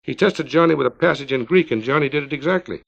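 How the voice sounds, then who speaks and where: A man's voice: a little high, quick pace, creaky, pitched above the performer's own voice. A man reading an earnest small-town man's emphatic, colloquial dialogue in a story, on a 1950s record. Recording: dull 1950s vinyl record.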